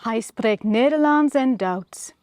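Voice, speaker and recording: A woman's voice: fairly high pitch, animated, takes it slowly, music in the background. A chatty, enthusiastic woman hosting a vlog, talking casually to camera. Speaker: vlog host. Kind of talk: casual presenting to camera with a sponsor read. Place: recorded on location at her home and at a garden show.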